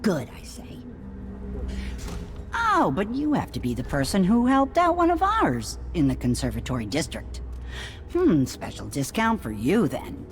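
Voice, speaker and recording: Casting: wary, world-weary female smuggler shopkeeper for a game, low register, very animated delivery. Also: measured pace, over music. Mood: sly, welcoming. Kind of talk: video game character dialogue.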